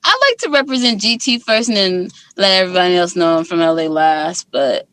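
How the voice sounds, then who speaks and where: A woman's voice: fairly low pitch, expressive, fairly quick, fairly bright tone. A young woman from Guyana, guest musician in a casual interview, talking candidly with lots of fillers.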